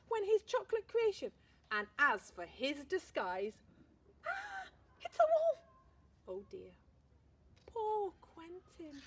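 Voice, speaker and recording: A woman teacher reading a picture book aloud, expressive storytelling with dramatic pauses. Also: very high-pitched. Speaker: primary school teacher.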